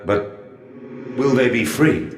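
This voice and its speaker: A man playing an earnest, questioning gentleman in video game dialogue. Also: mid-range voice, takes it slowly, reverberant, natural voice. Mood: uneasy questioning. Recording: slightly distant from microphone.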